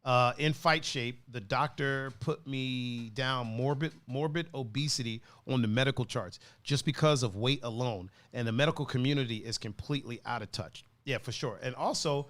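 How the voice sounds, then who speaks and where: A man's voice: normal speed, hardly any pauses, no room sound. A man hosting a podcast, chatting casually, reading out live comments and laughing often. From not from Cleveland. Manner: laid-back, laughs often, animated pitch swings. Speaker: podcast host. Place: podcast studio.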